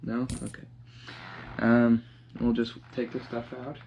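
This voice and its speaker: A young man casually narrating a hands-on project, half talking to himself in a halting mutter as he works in a living room. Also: mid-range voice, completely flat delivery. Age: young adult. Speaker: DIY vlogger. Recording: dull lo-fi sound, clear background noise.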